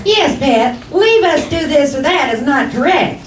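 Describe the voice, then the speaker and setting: A woman playing a patient, gently teasing wife in a 1940s-style radio comedy. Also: high voice, lively intonation, measured pace. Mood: gently correcting. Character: patient wife gently correcting her foolish husband. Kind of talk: 1940s radio comedy acting before a live audience. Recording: echoey stage, distant mic, noisy.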